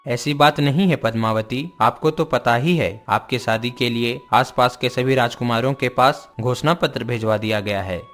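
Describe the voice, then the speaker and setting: A man's voice: medium pitch, normal speed, hardly any pauses, faint music behind, natural voice. A man voicing a humble, lovelorn commoner in a cartoon story, with a plaintive manner. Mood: meek, reproachful. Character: humble, lovelorn commoner, secret lover of a princess.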